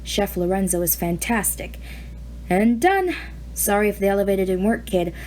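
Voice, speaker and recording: A woman voicing a busy grown man inventor who talks in quick, offhand bits. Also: mid-range voice, expressive, a little mellow, slight background noise, natural voice.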